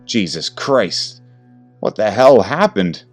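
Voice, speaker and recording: A man's voice: lowish voice, lively intonation, slow, faint music behind, voice pushed up. A man playing a tough middle-aged detective who is stern but charming. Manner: stern, gruff.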